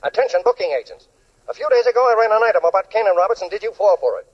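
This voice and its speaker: Brash 1940s gossip broadcaster: rapid, husky, staccato, on muffled old radio. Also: male voice, sits high, animated. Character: brash, rapid-fire gossip columnist broadcasting his items.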